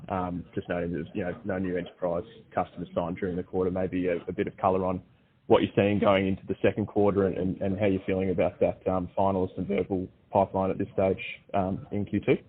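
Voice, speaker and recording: Low-voiced analyst asking on a phone line. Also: male voice. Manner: casual, rambling questions. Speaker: financial analyst. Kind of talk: conference call questions.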